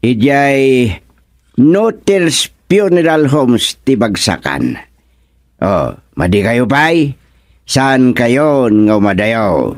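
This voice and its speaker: An actor with an Ilocano accent playing a middle-aged village chairman in a radio comedy, slow and drawling, laughing often. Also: medium pitch, lively intonation, dry recording, studio quality. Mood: scheming. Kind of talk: radio drama comedy acting.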